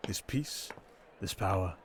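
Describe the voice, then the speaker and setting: A man playing a suave, cunning villain in an intimate ASMR roleplay, speaking in a silky, theatrical, teasing way with deliberate pauses. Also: deep voice, animated, background noise. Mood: admiring, tender. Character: suave, cunning male criminal mastermind villain, seductive and teasing.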